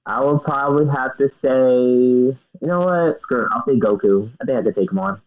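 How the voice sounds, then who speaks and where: A young man answers interview questions in a hesitant, giggly way, often pausing on fillers before he settles on an answer. His pitch is middling, and the recording sounds dull and lo-fi. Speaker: guest wrestler. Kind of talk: casual interview.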